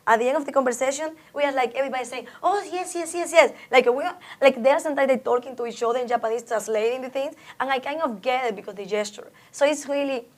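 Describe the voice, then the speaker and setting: A woman's voice: high-pitched, quick pace, hardly any pauses. A woman from the Dominican Republic with a Dominican Spanish accent, a Spanish speaker being interviewed about her art, reflective and often restarting her sentences. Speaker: artist interviewee. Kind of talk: oral history interview.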